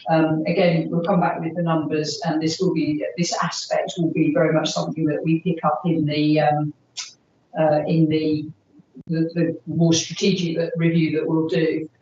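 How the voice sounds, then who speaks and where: A woman's voice: sits low, level tone, normal speed, dark tone, slight background noise. Hesitant woman in a distant echoing room. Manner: hesitant, many fillers. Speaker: park authority officer. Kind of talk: formal meeting response. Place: meeting room. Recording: roomy, distant, dull recording.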